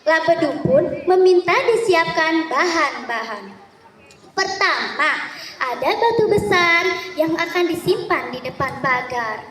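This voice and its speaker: A woman narrating a folk tale theatrically as a contestant, deliberate and with dramatic pauses. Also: very high voice, lively intonation, fairly bright tone. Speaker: storytelling contestant. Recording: echoey hall, distant microphone.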